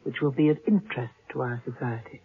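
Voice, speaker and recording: A woman's voice: rumbling low, quick pace, breathy edge, exaggerated voice. An actress playing a flirtatious, teasing woman in a 1970s radio play. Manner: teasing and seductive. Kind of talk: 1970s radio drama acting. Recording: tinny lo-fi old radio broadcast.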